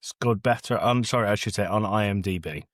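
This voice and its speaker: A man's voice: lowish voice, a little fast, no echo, studio quality. A British man co-hosting a podcast, casually running a quiz for the others.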